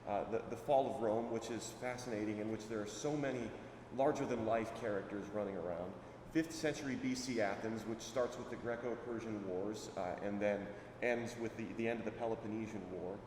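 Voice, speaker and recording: A man's voice: lowish voice, fairly quick, recorded from a distance. A man moderating a conference panel, asking questions hesitantly with fillers. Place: large conference hall. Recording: echoey hall from off-mic, room noise.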